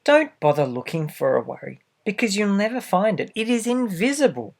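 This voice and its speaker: A man reads a picture book aloud to young children in a gentle, expressive way, adding little asides straight to the listener. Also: high voice, moderate pace, vocal fry. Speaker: storybook reader for children.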